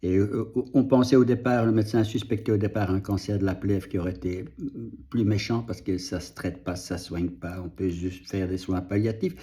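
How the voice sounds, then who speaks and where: A middle-aged man whose first language is Dutch, speaking French slowly and reflectively in a personal video update, pausing and restarting, audibly moved. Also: on the low side, dark tone. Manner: slow, reflective, halting with restarts. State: moved, emotional. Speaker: vlogger and author.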